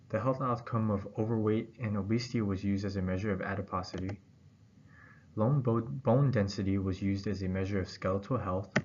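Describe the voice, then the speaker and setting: A man with a fairly low voice reads a formal academic script in short, halting phrases. The recording is dull and muffled, with some faint noise behind him. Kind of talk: scripted academic presentation read aloud.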